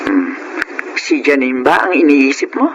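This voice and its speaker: Older Filipino mother, caring and earnest, lively intonation, radio drama with noisy sound. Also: extremely low pitch, a little slow, muffled recording, full character voice. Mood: concerned. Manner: earnest, advising.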